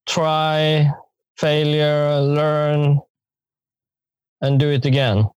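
Man, slow halting speech, raised pitch, dry sound. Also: level tone, a little mellow, smooth, dry recording, low-quality recording. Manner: slow, halting, with many pauses. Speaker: guest. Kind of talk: podcast interview.

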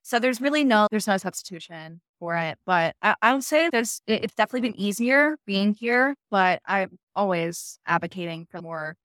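A woman's voice: mid-range voice, lively intonation, moderate pace, few pauses, fairly bright tone, no echo, studio quality. A woman from the continental United States giving her views casually and emphatically as a panel guest.

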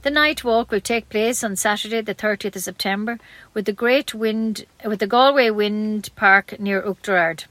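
A woman's voice: mid-range voice, normal speed, fairly bright tone, no room sound. A woman with an Irish accent reads scripted local news as a community radio newsreader.

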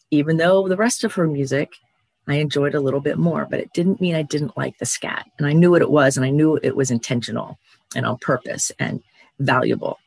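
A middle-aged man giving a reflective motivational talk, measured and thoughtful, pausing between phrases. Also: a little high, vocal fry, dry recording. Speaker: speaker on creativity.

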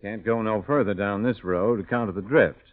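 An actor playing a plain, friendly country sleigh driver with a rural American accent and folksy speech, in a thin-sounding vintage radio drama. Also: male voice, fairly low pitch, fairly quick, phone-quality sound, natural voice. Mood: easygoing, helpful. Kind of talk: old-time radio drama acting.

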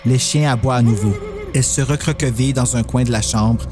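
A man's voice: mid-range voice, a little fast. A man narrating a dramatized horror story as host and storyteller.

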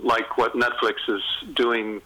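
A man of middle age or beyond speaks in a bright, forward voice at a medium pitch. He chats like an interviewed author, enthusiastic and gently self-mocking, pausing and regrouping within his thoughts. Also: little pitch movement, rough recording. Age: middle-aged or older. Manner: enthusiastic, self-deprecating, halting mid-sentence. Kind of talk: casual conversational interview, anecdotal.

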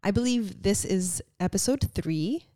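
A woman's voice: medium pitch, animated, measured pace, dark tone, no echo, studio quality. A young woman hosting her own podcast, sounding congested and nasal from allergies, talking openly and from the heart with many casual fillers. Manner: open, heartfelt, frequent "like" fillers. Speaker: podcast host. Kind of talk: casual solo podcast talk. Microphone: studio podcast mic.

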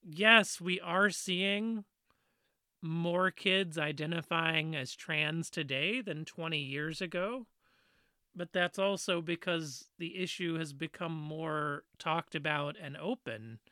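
Slow, bright-voiced woman with a deepish voice. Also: no echo, studio quality. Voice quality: fairly deep voice she trained herself to talk around. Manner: earnest, explanatory, with frequent "you know" fillers. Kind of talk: explaining in casual discussion.

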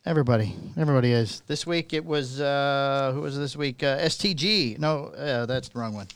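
Guy co-hosting a casual show, reads news bits out loud, stumbles around a bit, smooth clean voice, no room sound. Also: mid-range voice, fairly quick, no echo. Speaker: podcast co-host reading news. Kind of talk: casual banter mixed with read-aloud news items.

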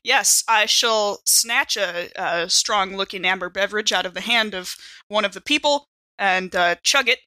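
Bright-voiced woman playing a tabletop game. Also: medium pitch, normal speed, dry recording, rough recording. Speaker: player in a tabletop roleplaying game. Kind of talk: casual improvised game chat.

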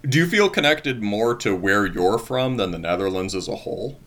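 A man's voice: fairly low pitch, animated, moderate pace, a bit bright. A man interviewing a guest in casual conversation, rambling with many hedges and fillers and laughing easily.

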